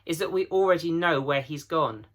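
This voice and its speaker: A man's voice: on the high side, moderate pace, fairly bright tone, voice pushed up, lightly acted. A man voicing a blunt, grave male beaver in a storybook reading, with weighty, urgent delivery. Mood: grim.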